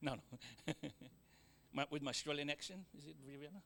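A man with a Fijian accent preaching a sermon, calling out amens to the congregation, mixing joking asides with exhortation and swinging from loud to quiet. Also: a little high, normal speed, vocal fry, a little hiss or hum. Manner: exhorting, with frequent amens and call-outs to the congregation.

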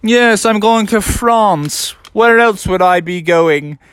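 A storyteller voicing a young frog who pretends to be a suave newcomer, in an affected, drawn-out way. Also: medium pitch, fairly bright tone, smooth, pitched above the performer's own voice. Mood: haughty.

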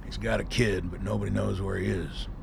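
An actor playing a blunt, well-read army colonel who swears freely and makes wry remarks. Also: male voice, low-pitched, fairly even intonation, brisk, vocal fry, darkish tone, slight background noise. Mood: dry.

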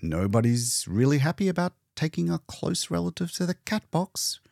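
A man's voice: a little high, sing-song, moderate pace, creaky, darkish tone, no room sound, studio quality, pitched above the performer's own voice. A man voicing an elderly, self-assured male doctor who lectures in expansive, emphatic speeches for an audiobook. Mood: grand, indulgent. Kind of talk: audiobook character dialogue.

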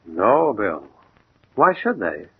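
An actor playing a tough, guarded American gang boss, curt and sardonic, in 1940s radio crime drama style. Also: male voice, fairly low pitch, huge pitch swings, slow pace, husky, heavily acted. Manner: curt, hard-boiled, sardonic. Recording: tinny, narrow old radio recording.

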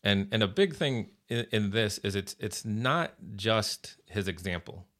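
A man's voice: on the low side, expressive, unhurried, fairly bright tone. A man speaking as a pastor guest on a podcast, earnest, often repeating words as he gathers his thoughts. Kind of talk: casual religious podcast conversation.

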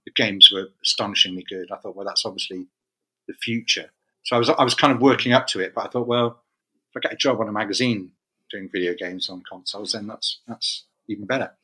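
Middle-aged male interview guest from the UK; bright, rambling, self-correcting delivery. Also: on the low side, fast talker, breathy edge, rough recording. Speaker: guest, games magazine editor. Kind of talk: casual interview chat.

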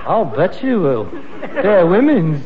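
Exasperated London son, animated and high-pitched. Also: male voice, slow, over a noisy crowd, heavily acted. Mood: disgusted, dismissive. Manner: scolding, sarcastic, working-class grammar. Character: exasperated, nagging grown son with frustrated ambitions. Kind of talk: British sitcom acting before an audience. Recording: dull, reverberant, noisy archive recording.

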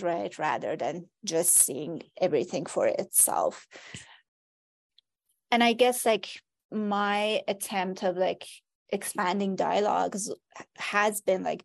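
A woman's voice: fairly low pitch, vocal fry, a little mellow. A woman author whose first language is Persian, talking reflectively in an academic conversation, with frequent "like" and "right?" fillers. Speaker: author guest.

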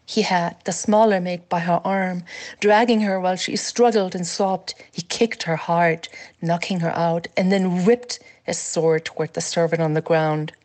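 Woman reading fiction, low and measured. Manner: measured, expressive storytelling. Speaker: author reading her novel.

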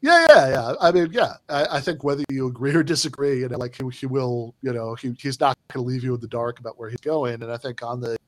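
A man's voice: medium pitch, fast, hardly any pauses, dry recording. A man in casual political discussion, talking in a rambling way with many fillers and restarts.

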